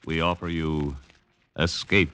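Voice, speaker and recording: A man's voice: extremely low pitch, little pitch movement, takes it slowly, clean tone. A man reading a scripted announcement in 1940s radio style, formal and dramatic. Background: very loud dramatic music.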